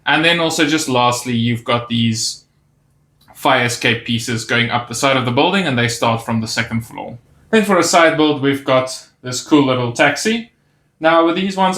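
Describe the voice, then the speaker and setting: A man's voice: mid-range voice, normal speed, fairly bright tone. A man narrating a casual toy set review, pointing out details with easy enthusiasm. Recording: roomy echo on the voice.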